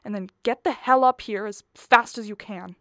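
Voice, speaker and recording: A woman's voice: mid-range voice, moderate pace, fairly bright tone, no echo, studio quality, close to an everyday voice. A woman playing a nervous, harassed middle-aged mother of the bride in a radio play, pleading and exasperated. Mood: urgent.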